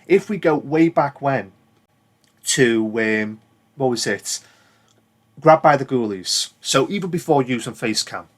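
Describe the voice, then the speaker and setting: A man's voice: medium pitch, lively intonation, a little slow, pauses often. A British man giving casual, rambling commentary as a gaming video creator, plain-spoken and given to swearing.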